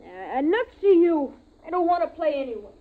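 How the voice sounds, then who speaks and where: A plaintive, eager child with a very high, animated voice. The style is old radio drama. The sound is muffled and narrow, like a vintage broadcast. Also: female voice, normal speed. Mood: whiny, defiant. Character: whiny, eager child pleading to join in play.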